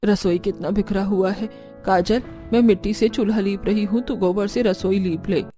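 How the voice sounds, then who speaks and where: A woman's voice: mid-range voice, fairly even intonation, normal speed, mellow, lightly acted. A woman playing an aging, weary, complaining mother-in-law in a cartoon. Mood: bossy worry. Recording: background music.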